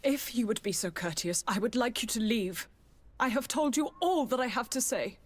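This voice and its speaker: A proud but anxious noble mother in a fantasy game. Her voice is fairly high, with formal, courteous and defensive phrasing. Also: animated, moderate pace. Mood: curt, defensive. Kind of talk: video game dialogue acting.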